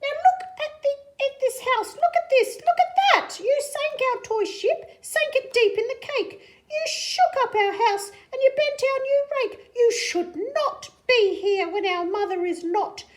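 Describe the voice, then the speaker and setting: Shrill, very high, scolding little storybook fish. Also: female voice, unhurried. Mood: indignant. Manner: frantic, indignant, shrill protesting. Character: anxious, scolding small pet fish. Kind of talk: animated children's storybook reading.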